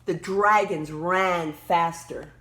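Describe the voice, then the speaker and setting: A woman reading a children's story aloud expressively, emphatically pausing to point out words and pictures. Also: on the low side, fairly even intonation, a bit bright, slight background noise.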